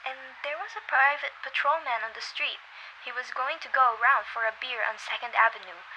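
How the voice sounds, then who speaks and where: A woman's voice: high voice, fairly quick, bright, forceful tone, a little hiss or hum, poor audio. A young woman in an amateur radio play acting an imperious, fretful married woman who is demanding and indignant. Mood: agitated, insistent. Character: imperious, agitated, self-important married woman. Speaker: amateur voice actor.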